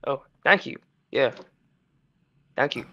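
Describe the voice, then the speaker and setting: Boastful guy on a call, bright voice, kinda muffled lo-fi audio. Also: mid-range voice, expressive, slow pace, vocal fry. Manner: boastful, self-promoting. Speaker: stream guest. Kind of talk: casual call chat.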